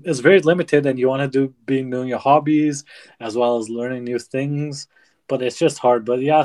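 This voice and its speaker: A young man co-hosting a podcast, chatting casually in quick bursts with fillers. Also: medium pitch, a little mellow. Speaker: podcast co-host. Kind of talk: casual chat.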